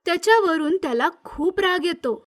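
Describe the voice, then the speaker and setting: High-pitched woman narrating a story. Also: animated, moderate pace. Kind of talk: scripted children's story narration.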